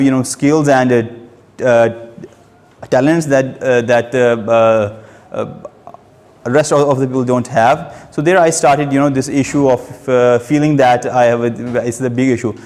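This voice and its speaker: A man's voice: mid-range voice, darkish tone. A young man from Pakistan giving a speech about his life, speaking with a stammer, full of hesitations and repeated sounds. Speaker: club member giving a speech. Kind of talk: prepared personal speech. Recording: long hall reverb.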